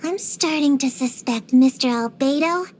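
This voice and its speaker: A woman's voice: high voice, a little slow, darkish tone. A woman voicing a precocious, feisty little girl in a video game, earnest and theatrical with grown-up wording. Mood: earnest, chatty. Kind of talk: scripted video game character voice lines.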